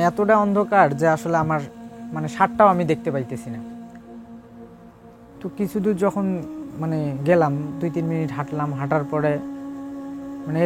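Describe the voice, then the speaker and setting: Bangladeshi guy, voice a bit high, telling his own scary story casually step by step, music clearly going behind. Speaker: guest storyteller on a ghost-story show. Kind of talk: informal first-person ghost-story telling. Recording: voice over prominent background music.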